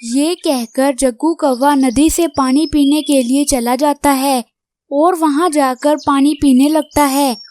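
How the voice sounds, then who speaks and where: A woman's voice: high voice, fairly even intonation, slow pace, a little mellow. A woman reading scripted narration for a children's cartoon story.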